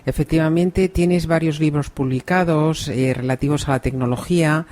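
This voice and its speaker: A woman from Spain with a Spain Spanish accent, hosting an interview podcast in a relaxed way, often adding soft affirmations. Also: low-pitched, normal speed. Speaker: podcast host.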